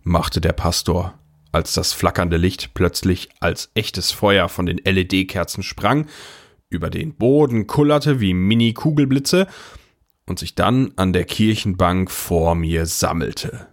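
Audio drama casting: man as deep-voiced older brother, first-person narrator with dry, understated wit. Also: slow. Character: wry, unflappable older brother narrating his story.

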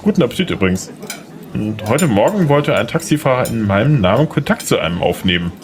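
A man's voice: medium pitch, very animated, a little fast, crowd noise. A man playing a polite, slightly unsure and charming young lawyer in an audio drama. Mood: friendly, charming. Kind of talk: German audio drama dialogue.

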